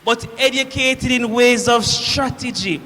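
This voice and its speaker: An actor playing a proud Roman centurion shaken by guilt and fear, in a declamatory theatrical monologue with deliberate, dramatic pauses. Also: male voice, very high-pitched, fairly bright tone, heavily acted. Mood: proud, commanding. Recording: echoey hall with long reverb.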